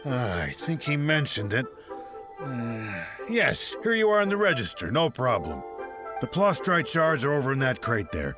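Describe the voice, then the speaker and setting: An actor playing an obliging dock warehouse manager in a video game, helpful and a little hesitant. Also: male voice, mid-range voice, wildly swooping pitch, unhurried, music in the background.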